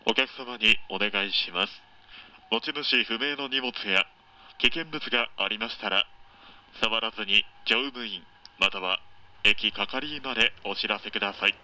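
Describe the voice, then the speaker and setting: A man makes formal train service announcements. His voice is on the low side, with a very bright, forceful edge. The wording is courteous and scripted. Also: a little slow, rough recording. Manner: polite, formal.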